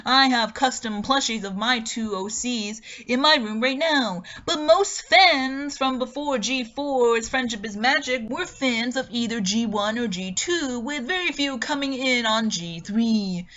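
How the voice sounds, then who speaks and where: High-voiced woman reading dramatically, dull recording. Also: unhurried, hardly any pauses, a bit bright. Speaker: dramatic reader. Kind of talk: dramatic reading of a written online review.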